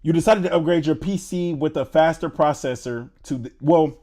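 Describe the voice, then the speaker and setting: A young man in his twenties from Indiana, chatting casually on a live stream, loose and slangy, reading chat aloud and thinking out loud. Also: on the high side, moderate pace. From Indiana, USA. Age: 20s. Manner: slangy, loose, says "bro" a lot.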